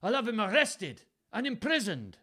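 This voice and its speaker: Excitable, high-pitched old Welsh earl. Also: male voice, measured pace, slightly rough, heavily acted. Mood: angry. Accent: wobbly put-on Welsh. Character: agitated old Welsh earl, muttering and snarling.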